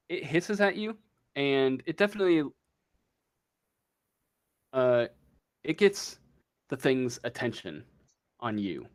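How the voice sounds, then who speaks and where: A man acting as game master, improvising a tabletop story in a casual, halting way as he searches for words. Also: a little high, smooth.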